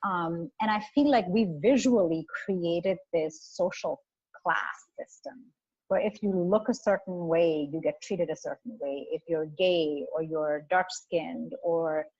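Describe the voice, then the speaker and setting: Indian-accented woman talking thoughtfully, lowish voice, muffled dry sound. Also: a little slow, darkish tone, slightly rough, no room sound. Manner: earnest and reflective, many fillers. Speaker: guest, photographer. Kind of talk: casual interview talk.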